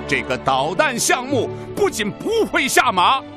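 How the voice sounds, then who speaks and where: High, soaring, resolute institute leader. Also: male voice, wildly swooping pitch, unhurried, music in the background, full character voice. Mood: resolute, rousing. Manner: declamatory, emphatic. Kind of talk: radio drama oratory.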